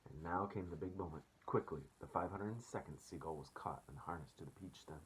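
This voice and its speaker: A man's voice: deep voice, brisk, a bit bright, slight background noise, poor audio. A man reading a storybook aloud as a teacher, expressively, and addressing children casually.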